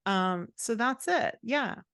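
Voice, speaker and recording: A woman's voice: a little high, animated, slow, a bit bright, clear, resonant tone, no room sound, clean studio sound. A woman guest talking casually and expressively in an interview, giving her opinions in informal language. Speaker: podcast guest, fantasy author and writing coach.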